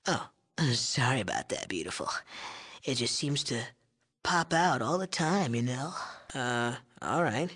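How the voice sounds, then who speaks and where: A young male chemist from a video game, cocky and sleazy. His voice is nasal and whiny, at a medium pitch. He talks in smug, sarcastic slang. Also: expressive, a little slow, a bit bright. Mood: sleazy, grudging. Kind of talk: video game character dialogue.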